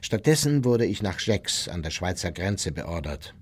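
An unrepentant war criminal giving testimony in a radio drama. His voice is fairly low and rings in a long hall reverb. He is blunt and matter-of-fact, coldly justifying himself. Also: sing-song, measured pace, heavily acted. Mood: matter-of-fact, self-justifying. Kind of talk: German radio play, interview testimony.

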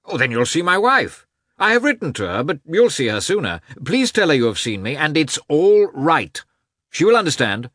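A man voicing an effusive, cheerful society gentleman in a classic novel, chatty, breezy and full of exclamations. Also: fairly high pitch, very animated, normal speed, no room sound, studio quality, voice pushed up. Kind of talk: audiobook character voice in a classic novel.

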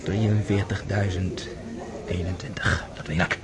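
An actor playing a proud, desperate young count in a radio drama, agitated and halting. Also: male voice, sits low, fairly even intonation, crowd noise. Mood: despairing. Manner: agitated, halting, stammering repetitions. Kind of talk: radio drama acting in Dutch.

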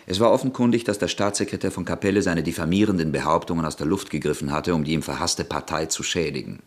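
A man narrating a radio drama in a husky voice, in a steady scripted style. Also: low-pitched, quick pace.

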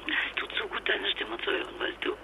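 An actress playing a fragile, exhausted wife and mother stranded abroad, speaking haltingly in a naturalistic radio drama through a telephone filter. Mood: tender, drained. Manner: halting, stammering, emotionally fragile. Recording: noisy muffled phone-line sound.

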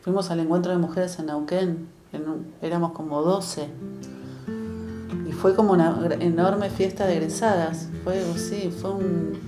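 A woman with an Argentine accent reminisces casually and haltingly in an interview conversation. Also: fairly low pitch, fairly even intonation. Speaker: interviewee recalling activist years. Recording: roomy echo, guitar music behind. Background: guitar music.

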